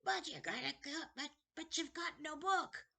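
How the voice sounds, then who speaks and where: A woman voicing an incredibly old, stooped male librarian in a children's storybook, halting and coaxing as he speaks. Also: high-pitched, creaky, bright, forceful tone, pitched above the performer's own voice, full character voice. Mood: insistent. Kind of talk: children's novel read aloud.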